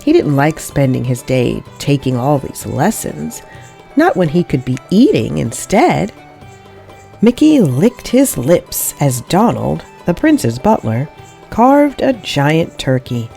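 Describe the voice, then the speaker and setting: A woman reading a children's story aloud as an expressive storybook narrator. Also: sits low, slow, vocal fry, soft background music. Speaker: storybook reader.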